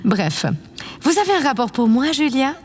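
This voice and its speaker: A woman's voice: high-pitched, expressive, normal speed. A woman playing a vain, imperious yet affectionate president of a space colony in an audio drama, with a pompous, condescending manner. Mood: offhand, imperious. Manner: pompous, condescending, theatrically self-satisfied. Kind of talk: scripted audio drama acting. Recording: long reverb, clear background noise.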